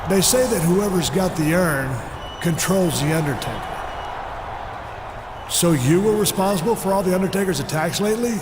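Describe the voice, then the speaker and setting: Suspicious questioning wrestler, high voice, roomy reverb. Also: male voice, expressive, normal speed, pauses often, background noise. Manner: pressing, questioning, growing impatient. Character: suspicious male wrestler, confronting a friend.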